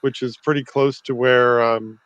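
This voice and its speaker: A man's voice: medium pitch, little pitch movement, moderate pace, a bit bright, clean tone, dry recording. A man with a Canadian accent co-hosting an online interview in a casual, friendly chatting style. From Vancouver, Canada. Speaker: co-host interviewing a guest. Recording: dry, slightly dull video call audio.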